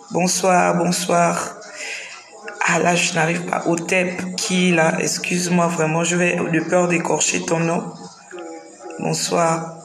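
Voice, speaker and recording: A woman's voice: lowish voice, echoey room, rough recording. A tired woman with the flu hosting a casual live stream, greeting viewers one by one and repeating her welcomes.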